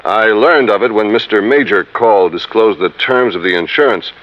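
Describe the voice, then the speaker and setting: An actor playing a confident, loyal young business lieutenant with a matter-of-fact manner in an old radio drama. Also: male voice, lowish voice, normal speed, natural voice. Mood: cool, assured. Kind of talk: 1950s radio drama acting. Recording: dull, band-limited old radio recording.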